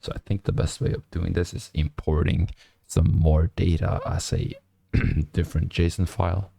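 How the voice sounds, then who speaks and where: Hoarse, gravelly-voiced young man. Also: sits low, flat delivery, no room sound. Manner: mumbling, halting with many fillers; speaks quietly to avoid disturbing neighbors. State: hoarse, nearly lost voice. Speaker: programming streamer. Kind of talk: casual coding stream commentary. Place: small apartment room at night.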